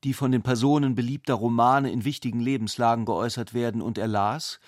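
A man's voice: medium pitch, fairly even intonation, fairly quick, dry recording, clean studio sound. A man reading scripted literary narration for a radio play.

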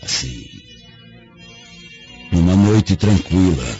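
A man's voice: sits low, wildly swooping pitch, very slow, dark tone, heavily acted. An actor with a Brazilian accent playing a proud, vengeful dying father confessing with remorse, in solemn, theatrical radio drama phrasing. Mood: bitter confession. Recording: dull lo-fi radio drama, faint music behind.

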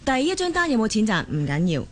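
Actress playing an expressive Cantonese working mother. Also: on the high side, fast talker. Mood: exasperated. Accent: Hong Kong Cantonese. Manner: expressive, colloquial. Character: busy working mother, chatty, easily exasperated office woman. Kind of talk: Cantonese radio drama acting.

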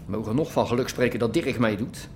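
An actor playing a gruff, grumbling businessman in a naturalistic radio drama, cursing and exasperated. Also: medium pitch, quick pace, a little hiss or hum, close to an everyday voice. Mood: worried, grumbling.

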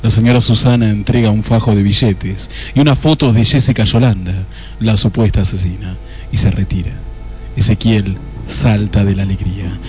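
Man acting a low, melodramatic noir narrator. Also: fairly even intonation, normal speed, darkish tone, faint music behind, close to an everyday voice. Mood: wry, storytelling. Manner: melodramatic, lingering over phrases. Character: lurid, solemn male narrator of noir parody. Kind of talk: scripted dramatic narration.

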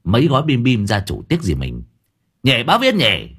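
A man voicing a cheeky young assistant who teases in a lazy, low drawl. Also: wildly swooping pitch, normal speed, a bit bright, husky, heavily acted. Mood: teasing. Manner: lazy, teasing.

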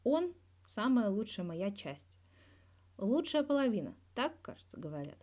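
A woman's voice: mid-range voice, big pitch swings, a little slow, dark tone. A woman voicing a guarded male hockey star in his thirties, talking candidly and wryly in a scripted interview from a fan fiction audio reading. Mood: fond. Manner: candid, wry, colloquial with swearing.

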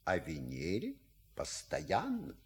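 An actor playing an unflappable, urbane manservant in a comedy audio play, deferential with a husky voice and subtle irony. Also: male voice, lowish voice, huge pitch swings, takes it slowly, darkish tone, heavily acted. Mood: wryly amused. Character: suave, unflappable, wry male manservant, courteous.

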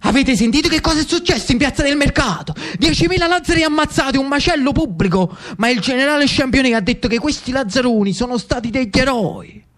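A man playing an excited Jacobin messenger, agitatedly reporting grim news on stage. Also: extremely high pitch, fast, hardly any pauses, pitched above the performer's own voice. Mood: alarmed. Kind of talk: theatrical monologue acting.

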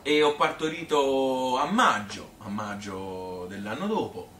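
A man's voice: mid-range voice, measured pace, a bit bright, lightly acted. A man playing a plain-spoken Tuscan mother who reminisces in a rambling, hesitant, conversational stage monologue full of self-questioning. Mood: reminiscing. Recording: roomy, distant stage recording with noise.

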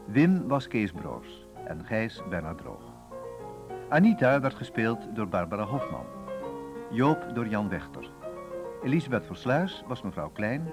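A man's voice: fairly low pitch, sing-song, a little slow. A man reading scripted closing credits as a radio drama announcer. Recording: piano music behind the voice. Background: piano music.

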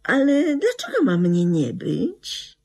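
An actress in a radio drama playing a bitter, resentful middle-aged widow, reproachful and emotionally swinging, with a husky, creaky voice. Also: female voice, high-pitched, huge pitch swings, slow pace, darkish tone, exaggerated voice. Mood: brittle, evasive. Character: bitter, resentful widow talking to her dead husband.

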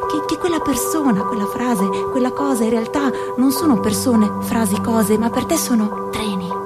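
A woman's voice: a little high, normal speed, natural voice. An actress performing a restless young woman who dreams of her own startup, in a rhythmic, poetic theatrical monologue. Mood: dreamy. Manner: rhythmic, incantatory wordplay. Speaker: narrator and lead actor. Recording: music playing behind. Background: music.

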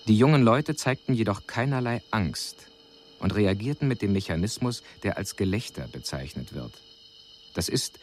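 Low male voice, detached alien envoy, clinical and formal radio drama report, clear background noise.

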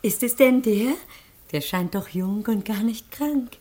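An actress playing a modest, doubtful young wife in a classic stage comedy, hesitant, with a soft husky voice. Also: female voice, on the high side, a little slow. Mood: reluctant.

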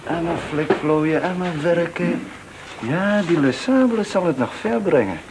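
A man's voice: fairly high pitch, lively intonation, measured pace. A man playing a grumbling, mocking office clerk with a ladykiller's reputation in a radio play. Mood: sneering. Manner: sardonic, complaining. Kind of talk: Dutch radio play acting. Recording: noisy old radio recording with clicks.

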